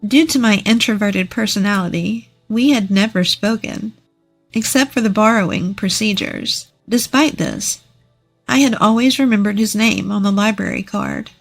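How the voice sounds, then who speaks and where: A woman's voice: mid-range voice, normal speed, vocal fry, faint music behind, rough recording. A woman narrating a scripted first-person story.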